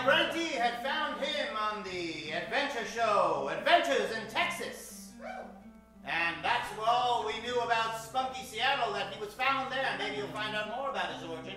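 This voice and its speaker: In a very reverberant theater, with music playing behind him, a man announces an old-time radio show. His voice is high for a man and set back from the microphone. He delivers everything with grandiose, tongue-in-cheek showmanship and dramatic pauses. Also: bright, forceful tone, distant mic. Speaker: host and announcer of a live radio-play show. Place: live theater stage, echoing room.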